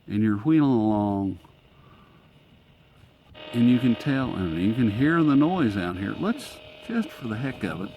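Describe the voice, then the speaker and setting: A man's voice: mid-range voice, big pitch swings, measured pace, pauses often, muffled recording. A man casually demonstrating a gadget hands-on, thinking aloud in a folksy, informal way. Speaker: radio reviewer and host. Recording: clear static and radio noise behind.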